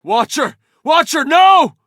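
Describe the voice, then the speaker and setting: An actor playing an easygoing, loyal farmer turned soldier in a video game, with laid-back folksy phrasing and dry humour. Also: male voice, fairly low pitch, lively intonation, normal speed, dry recording, lightly acted. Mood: anguished shouting. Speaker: video game companion character. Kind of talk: game dialogue and battle barks.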